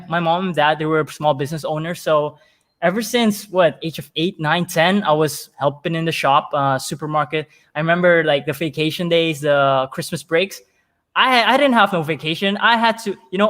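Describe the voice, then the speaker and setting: Man, fairly high-pitched, interview guest, conversational storyteller, emphatic, repeats words mid-thought. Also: a bit bright. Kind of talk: conversational interview answers with personal anecdotes.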